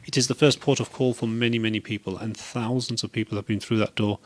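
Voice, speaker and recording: Low-voiced upbeat radio host man. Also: steady tone, fast talker, no echo. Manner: upbeat, encouraging. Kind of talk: community radio interview.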